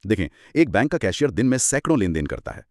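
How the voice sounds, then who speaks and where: A man's voice: fairly low pitch, wildly swooping pitch, fast, vocal fry, a little mellow, no room sound, clean studio sound. A man with a Pakistani accent explaining law in an assured, emphatic, conversational teaching style. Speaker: legal explainer on a two-person show.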